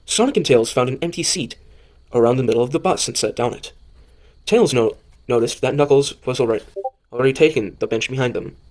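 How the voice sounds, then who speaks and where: A man reading a scripted story as narrator, haltingly, often stumbling and rereading phrases. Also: medium pitch, darkish tone. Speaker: narrator of an amateur audio drama.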